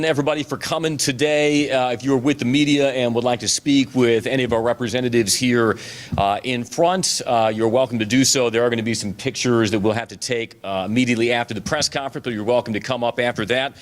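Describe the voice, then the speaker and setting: Guy running the press conference, mid voice, quick cheery intros and wrap-up. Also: medium pitch, steady tone. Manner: brisk, cheerful, businesslike.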